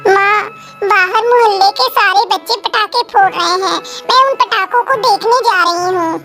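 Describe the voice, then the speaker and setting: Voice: very high voice, normal speed, over music. Woman doing a pleading little girl bird. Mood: eager. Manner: pleading, childlike. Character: small, eager girl bird.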